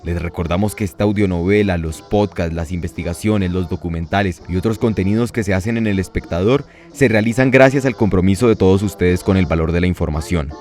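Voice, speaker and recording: A man with a lowish voice reads the credits in a friendly, plain way, with soft music playing faintly behind him. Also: a little fast, hardly any pauses. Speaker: announcer reading the credits. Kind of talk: scripted credit announcement.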